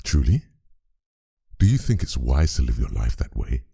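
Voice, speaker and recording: Tired dutiful priest, really dark gravelly voice, pitch moves around a lot, radio drama style. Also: male voice, sing-song, normal speed, heavily acted. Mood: mild, curious. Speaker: voice actor. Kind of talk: audio drama acting.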